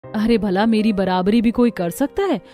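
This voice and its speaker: A woman's voice: medium pitch, normal speed, dark tone, natural voice. A woman voicing chatty, opinionated women of an Indian household in a cartoon family story. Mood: smug.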